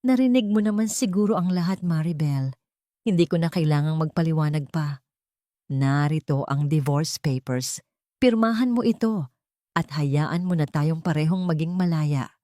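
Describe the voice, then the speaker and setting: Lady narrator doing a cold dismissive husband, curt, lowish voice, audio story. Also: lively intonation, moderate pace, mellow, dry recording, clean studio sound.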